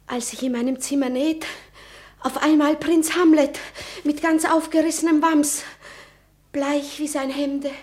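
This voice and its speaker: An actress playing a frightened, obedient young noblewoman in a classical radio play, theatrical and earnest. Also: very high-pitched. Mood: frightened, agitated. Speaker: radio drama actor.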